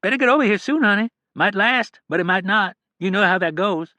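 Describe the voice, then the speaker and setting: A man's voice: high voice, animated, brisk, sharp tone, no room sound, pitched above the performer's own voice. A man voicing a familiar, chatty woman nurse at a care home in an audiobook. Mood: reassuring. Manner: familiar, calls her girl and honey.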